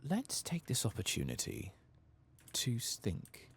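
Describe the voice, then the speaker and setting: A soft-spoken man doing ASMR-style game commentary, muttering casually to himself as he thinks aloud and sometimes dropping into a whisper. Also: medium pitch, wildly swooping pitch, unhurried, gravelly, husky. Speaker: gaming video host.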